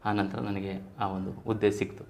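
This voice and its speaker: A man's voice: fairly low pitch, flat delivery, normal speed, a little mellow. A man in his thirties with a Karnataka Kannada accent, telling his own story as an interview guest in earnest, formal phrasing mixed with English terms. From Karnataka, India. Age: 30s. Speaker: interview guest, police sub-inspector probationer. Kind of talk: podcast interview, personal storytelling. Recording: roomy echo, faint background noise.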